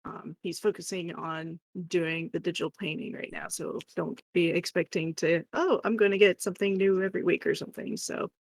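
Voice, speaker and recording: A woman's voice: fairly low pitch, steady tone, a little fast, hardly any pauses, dry recording. A woman chatting casually as co-host of a livestream.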